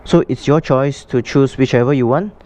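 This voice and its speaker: A man presenting a casual, unscripted product unboxing for a vlog, explaining things in a halting way. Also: medium pitch, darkish tone.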